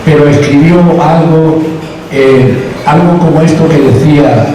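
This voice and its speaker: A man from Extremadura greeting a gala audience with hesitant fillers, then reciting a poem in a steady voice. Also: on the high side, measured pace, a crowd in the background. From Extremadura, Spain. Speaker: poetry reciter at an awards gala. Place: large hall at a live event. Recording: roomy hall, distant mic. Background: music.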